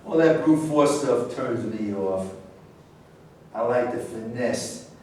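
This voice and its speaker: A man in a staged play reading, playing a fastidious, mocking and defensive golf star. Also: mid-range voice, lively intonation, a little mellow, slight background noise. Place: echoey indoor room at a staged reading. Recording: distant, very echoey room.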